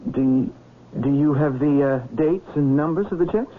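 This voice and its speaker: A man's voice: fairly high pitch, moderate pace, lightly acted. A man playing a nervous, deferential secretary who stammers and grows flustered and defensive in a vintage radio mystery. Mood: nervous, wary. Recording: tinny, band-limited old radio broadcast.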